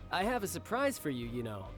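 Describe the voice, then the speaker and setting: Young fighter big brother in a game, teasing and affectionate, soft music behind. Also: male voice, fairly high pitch, wildly swooping pitch, moderate pace. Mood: playful. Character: playful, doting young warrior big brother. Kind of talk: video game character acting.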